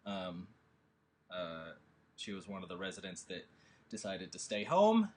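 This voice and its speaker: A man's voice: sits low, very animated, measured pace, darkish tone. A man hosting a remote table read, chatting loosely with lots of fillers and then reading stage directions over a video call. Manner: rambling, filler-heavy, offhand. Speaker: writer and host of the table read. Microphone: video call with desktop audio. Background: score music.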